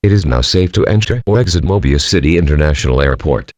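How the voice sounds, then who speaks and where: A man's voice: a bass voice, expressive, quick pace, a little mellow, dry recording. A man playing an official announcer reading a formal emergency broadcast message. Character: male emergency alert announcer reading all-clear.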